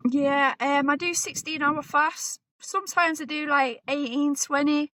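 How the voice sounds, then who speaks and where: A woman in her forties, a boxer talking as a guest in a relaxed, chatty way and often repeating herself. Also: high voice, unhurried, no echo. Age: 40s. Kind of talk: casual interview chat.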